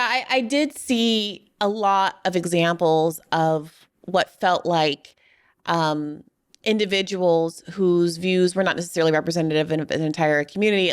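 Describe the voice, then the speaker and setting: A woman hosting a political interview, framing long, carefully qualified questions in a deliberate way. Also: lowish voice, smooth. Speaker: podcast host, interviewer.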